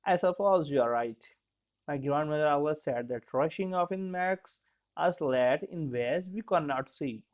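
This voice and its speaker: Narrator doing a curious polite kid in a bedtime story, soft-spoken, slow, slightly muffled. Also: medium pitch, lightly acted. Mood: warm, thoughtful.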